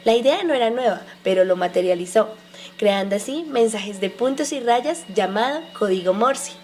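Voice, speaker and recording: A woman's voice: fairly high pitch, expressive, moderate pace, a little mellow, faint music behind, natural voice. A woman playing an inventor's helpful wife, giving a recited explanation in an educational radio drama. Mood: obliging. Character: helpful wife speaking for her busy inventor husband.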